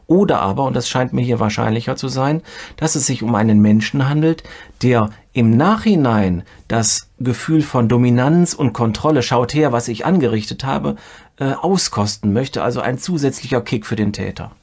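Male expert interviewee, gravelly and husky, analytical and precise. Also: medium pitch, moderate pace, darkish tone. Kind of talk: explanatory interview answer.

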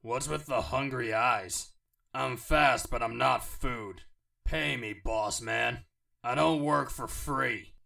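A man's voice: mid-range voice, slow, creaky, pushed and bright, full character voice. A man voicing a brash, sarcastic gangster fighter from a video game, with a snide delivery. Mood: snide taunting. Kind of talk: fan impression of video game villain.

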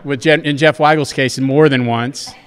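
Halting man at a podium, reverberant hall. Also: mid-range voice, fairly even intonation. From West Texas. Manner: halting, many restarts. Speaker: panel moderator. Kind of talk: introducing a panel. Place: hotel conference room. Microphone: podium mic.

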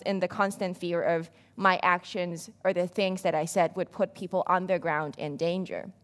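Brief: young woman activist, lowish pitch, steady earnest delivery, seated on a dark stage. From Hong Kong. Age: young adult. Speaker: panelist, activist. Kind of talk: prepared panel speech.

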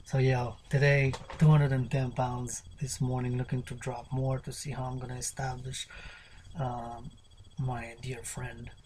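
A man in his thirties preaches about fitness and healing in a loose, unscripted way, circling back to the same slogans. His delivery stays flat and monotone, sometimes dropping to a whisper. It is recorded on a webcam in a small cluttered bedroom with a faint hiss underneath. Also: medium pitch, measured pace, poor audio. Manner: preachy, repetitive chanting of slogans, drifting into whispers. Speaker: fitness vlogger. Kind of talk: rambling unscripted workout talk. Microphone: webcam at desk distance.

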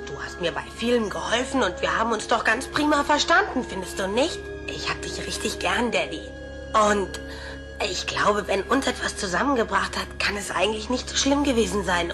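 A woman's voice: medium pitch, lightly acted. A woman voicing a cheeky, evasive ten-year-old schoolboy in a German cartoon dub.

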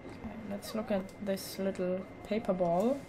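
A woman's voice: medium pitch, fairly even intonation, moderate pace, noisy background. A woman casually commenting as she plays a game, offhand and hesitant, with dry sarcastic asides. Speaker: let's play host.

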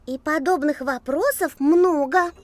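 A woman's voice: high-pitched, measured pace, darkish tone, dry recording. A woman voicing a curious, earnest little girl in an educational children's cartoon, inquisitive and neatly enunciated. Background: music.

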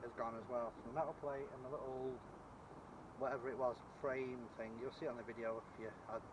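A middle-aged man talks to camera in a casual, matter-of-fact way. His pitch is average for a man. He is recorded outdoors on a canal towpath, with clear ambient noise around his voice. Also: flat delivery, a little fast. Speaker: vlogger, magnet fisher. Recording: noisy outdoor canal-side camera audio.

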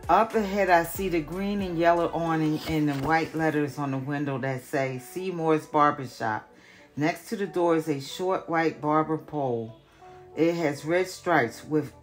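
An older woman, a retired teacher, reading a picture book aloud in careful, deliberate narration. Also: deep voice.

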